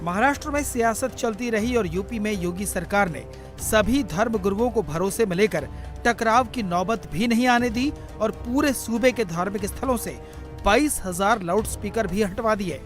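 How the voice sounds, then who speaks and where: A man narrates a scripted news report in a very high-pitched voice. Music plays clearly behind his voice-over throughout. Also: moderate pace.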